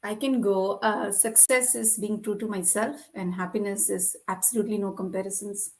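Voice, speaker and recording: A woman of ordinary, middle pitch speaks as a podcast guest. Her delivery is casual and conversational. She sounds like someone sharing personal views with a panel. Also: fairly even intonation, moderate pace.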